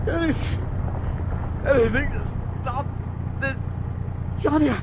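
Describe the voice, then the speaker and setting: An actor playing an ailing, agitated man gasping through stomach pain in an old-time radio drama. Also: very high voice. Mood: agonized, pleading. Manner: faltering, halting. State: in agony, gasping. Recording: muffled old radio, loud vehicle noise.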